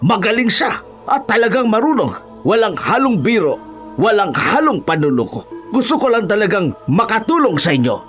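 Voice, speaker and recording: A man's voice: high-pitched, big pitch swings, moderate pace, fairly bright tone, soft background music. A man playing a glib, eager young monster hunter's sidekick in an audio horror drama. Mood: earnest.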